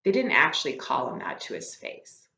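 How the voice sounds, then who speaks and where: Low-voiced woman reading aloud, echoey room. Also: huge pitch swings, normal speed, creaky, pushed and bright, a little hiss or hum. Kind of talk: expressive storybook read-aloud with casual asides. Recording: echoey room, slightly dull lo-fi sound.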